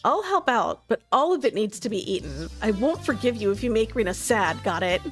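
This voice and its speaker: A woman's voice: fairly high pitch, sing-song, brisk, soft background music. A woman voicing a brash, confident teenage anime girl who talks in a bossy, teasing way, for a visual novel game.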